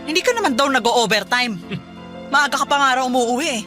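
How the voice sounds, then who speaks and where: A hurt, probing wife. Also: female voice, sits high, lively intonation, brisk, music in the background. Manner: pleading, probing, accusing. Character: hurt wife confronting her husband. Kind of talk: Filipino radio drama acting.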